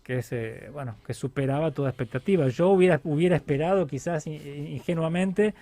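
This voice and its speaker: Guy with getting interviewed on radio, voice a bit high, keeps stopping to find words. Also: a little fast, dark tone. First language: Spanish. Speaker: film director being interviewed.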